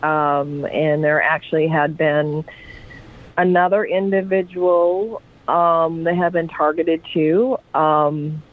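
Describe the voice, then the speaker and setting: A woman guest answering in a slow, halting, hesitant way with many pauses. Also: low voice, flat delivery. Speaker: guest, widow. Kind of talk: casual interview talk. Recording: tinny phone line.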